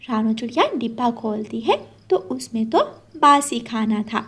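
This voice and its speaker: A woman's voice: a little high, moderate pace, breathy edge. A woman storyteller reading scripted narration for a children's cartoon moral tale.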